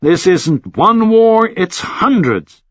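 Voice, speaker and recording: A man's voice: sits high, sing-song, slow, no echo, voice pushed up, full character voice. Man voicing easygoing, drawling American journalist, slightly muffled. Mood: frank, eager. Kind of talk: audiobook character dialogue.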